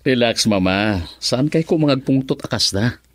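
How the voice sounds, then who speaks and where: A man's voice: medium pitch, expressive, moderate pace, no room sound, close to an everyday voice. A Filipino man playing a young, lovestruck and defiant son in a radio drama. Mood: reluctant.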